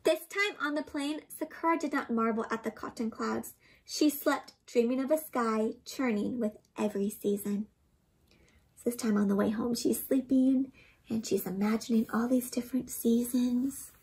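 A young woman doing an interactive storybook read-aloud for children, expressive and gently teacherly, often putting questions to her listeners. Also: on the high side, normal speed, breathy edge, poor audio. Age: young adult. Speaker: children's storybook reader and host. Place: small indoor room with books and plants.

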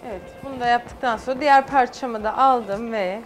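A woman's voice: fairly high pitch, brisk, faint music behind, rough recording. A middle-aged woman, a guest chef on a studio cooking show, explaining each step as she works. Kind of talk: cooking demonstration. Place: TV studio kitchen set.

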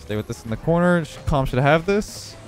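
A young man with a congested voice giving relaxed running commentary on his own video game match. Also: on the high side, brisk, faint music behind. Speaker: gaming video creator. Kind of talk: live gameplay commentary.

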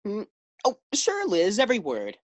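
A woman's voice: medium pitch, wildly swooping pitch, moderate pace, darkish tone, no room sound. A woman playing a distracted, affable husband with hesitant delivery in an old-time radio sitcom. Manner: hesitant, absent-minded. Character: distracted, affable husband reading his morning paper.

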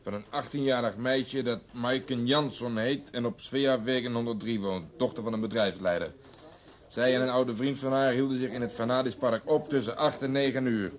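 Coarse, sardonic male cop for radio drama man acting, surly, average pitch. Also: fairly even intonation, normal speed, close to an everyday voice. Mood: sarcastic. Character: coarse, surly, sarcastic male police detective.

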